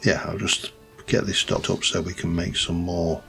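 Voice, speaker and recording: Deep gravelly guy, slight yorkshire accent, rambly game commentary, faint music behind. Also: flat delivery, normal speed, bright, forceful tone. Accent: light Yorkshire English. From Yorkshire, England. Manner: rambling, thinks aloud with many ums and restarts. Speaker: let's play host. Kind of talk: casual gameplay commentary.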